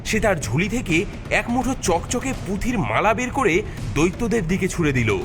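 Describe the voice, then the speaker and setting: A man's voice: high-pitched, sing-song, moderate pace. A man narrating a scripted cartoon story in a dramatic style. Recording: loud music mixed under the narration.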